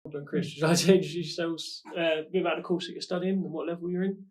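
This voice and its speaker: A woman's voice: fairly low pitch, steady tone, racing, darkish tone. A woman hosting a student podcast, reading a welcome and asking the guests questions. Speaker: podcast host. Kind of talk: scripted intro then casual chat.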